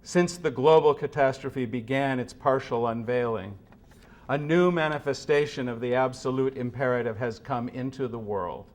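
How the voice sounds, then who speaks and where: A man speaking to a gathered room in a solemn, deliberate way, with measured phrasing, as if presenting a serious reading. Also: mid-range voice. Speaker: speaker at a meeting. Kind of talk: introducing and reading philosophical prose aloud to a gathering. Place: meeting room with an audience.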